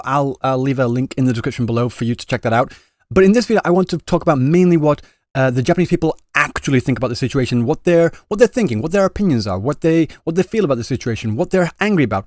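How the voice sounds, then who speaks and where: A man talking quickly and chattily in a commentary vlog, reading out translated comments and restarting phrases. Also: on the high side, darkish tone, dry recording, studio quality. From Britain. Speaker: YouTuber explaining Japanese reactions.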